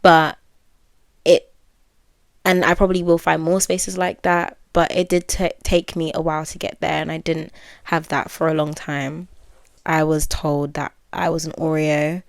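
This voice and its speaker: Low, flat-voiced young woman. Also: measured pace, creaky, dry recording, clean studio sound. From United Kingdom. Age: 20s. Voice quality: well-spoken. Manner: candid, musing, many fillers and self-corrections. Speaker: podcast host. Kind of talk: reflective solo podcast monologue.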